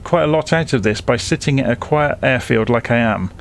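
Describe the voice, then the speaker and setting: A man's voice: medium pitch, fairly quick, gravelly, slight background noise. A man presenting a casual flight simulation tutorial, explaining things informally, step by step.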